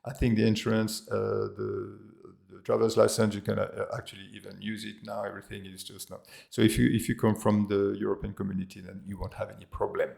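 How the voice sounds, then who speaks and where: A man's voice: fairly low pitch, little pitch movement, fast talker, creaky, a little mellow, husky. A middle-aged man with a French accent talks casually to camera, hesitant and full of fillers and restarts. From France and Australia. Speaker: expat vlogger.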